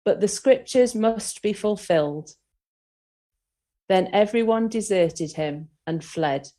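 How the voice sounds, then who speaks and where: A woman's voice: mid-range voice, lively intonation, takes it slowly, dark tone. A woman giving a solemn reading of scripture as the reader at a worship service.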